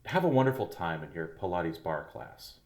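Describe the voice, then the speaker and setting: Casting: man as a measured, fatherly school head, very deep voice, echoey room. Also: big pitch swings, darkish tone. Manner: soothing, paternal, measured. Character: middle-aged male school head, falsely kindly. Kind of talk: audio drama acting.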